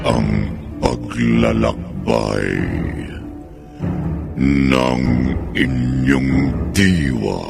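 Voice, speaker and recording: A man with a Filipino accent narrating a horror radio drama in an ominous, theatrical, gravelly style, very slowly. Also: a bass voice. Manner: slow, theatrical. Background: music.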